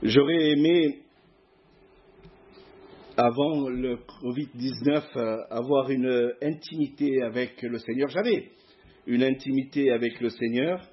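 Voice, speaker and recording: A middle-aged man with a southern French accent, a guest preacher giving a sermon in church, hesitant with many pauses. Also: medium pitch, lo-fi sound. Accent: southern French (Provence). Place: church hall before a masked congregation.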